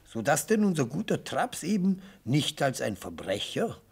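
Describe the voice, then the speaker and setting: An actor playing an elderly, theatrical defence lawyer in a radio play, speaking in rhetorical, declamatory phrases with savoured pauses and a husky voice. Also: male voice, on the high side, big pitch swings, darkish tone, exaggerated voice. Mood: grandiloquent. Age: older. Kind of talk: radio play courtroom oratory. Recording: vintage radio play recording.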